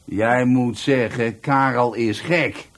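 A man's voice: mid-range voice, slow, a bit bright. An actor playing a sneering, bullying party host in a radio drama, mocking and theatrical as he humiliates people. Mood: loud bullying. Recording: music playing behind.

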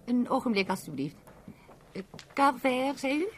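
An actress playing a polite, helpful post office clerk in a 1970s radio drama, courteous and a little hesitant. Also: female voice, fairly high pitch, sing-song, normal speed. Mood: courteous, apologetic. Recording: old radio recording, light noise.

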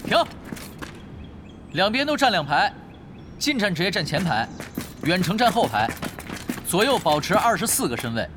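A shrewd, swooping-voiced gamer leader. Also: male voice, high-pitched, big pitch swings, background noise. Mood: commanding. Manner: unhurried teasing in talk, commanding when giving orders. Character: laid-back, shrewd veteran online gamer and raid leader. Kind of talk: Chinese audio drama acting. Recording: studio drama with loud music behind.

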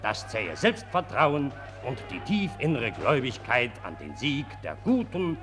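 A man's voice: mid-range voice, very animated, measured pace, over music. A man playing a pompous, patriotic intellectual in a 1940s German radio drama, declaiming lofty phrases in a pedantic, oratorical manner. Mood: self-important, lofty. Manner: oratorical, pedantic, self-important. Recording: old 1940s radio recording.

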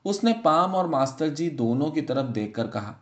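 A man reading a literary short story as an audiobook narrator, in a measured, expressive storytelling manner. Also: on the high side.

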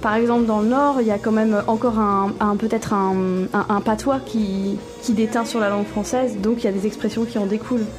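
A woman in her twenties from the Paris area, talking as a guest in a casual chat, quick but full of fillers. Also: fairly high pitch, fairly even intonation, music in the background. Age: 20s. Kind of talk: casual conversation.

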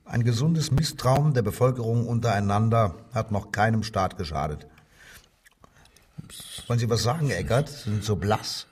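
A man's voice: on the low side, normal speed, darkish tone, breathy edge, recorded from a distance. An actor playing a male Nazi-era police inspector in a radio crime drama, falsely genial, probing and menacing. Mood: smug, insinuating. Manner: feigned joviality, probing, insinuating. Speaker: interrogating police detective.

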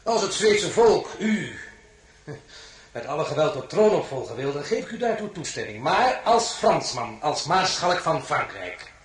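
Overbearing emperor, high voice, wildly varied intonation, theatrical commands, radio drama. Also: male voice, big pitch swings. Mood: stern, cajoling. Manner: theatrical, commanding, pointed pauses. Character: imperious French emperor, mocking and overbearing. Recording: roomy, echoing studio sound.

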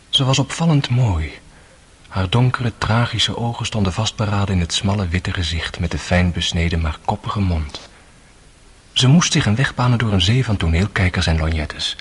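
An actor playing a solemn, husky storyteller narrating a radio drama. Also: male voice, lowish voice.

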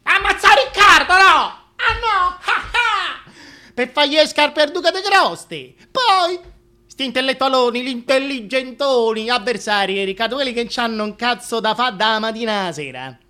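A man performs a working-class Roman busybody in a comic theatre monologue. The voice is bright and forceful, full of colloquial exclamations, indignantly standing up for the king like a neighbourhood gossip. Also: very high-pitched, lively intonation, normal speed, heavily acted. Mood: indignant, mocking.

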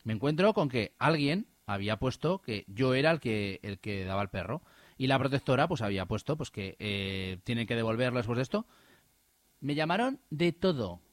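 A man telling an animated, indignant personal story in casual radio chat, with many restarts. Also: medium pitch, normal speed, no echo. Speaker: radio host.

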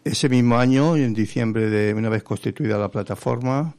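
A man from Spain, an activist guest on a radio interview, giving an earnest explanatory account with halting pauses. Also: medium pitch, darkish tone, no echo. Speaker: interview guest, patients' association vice president and activist. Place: radio studio.

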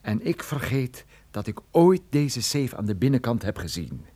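An actor playing a tough, sardonic private detective in a radio drama, menacing and dryly sarcastic. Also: male voice, medium pitch, very animated, moderate pace, dark tone. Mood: cold, menacing. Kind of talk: radio drama acting with first-person narration.

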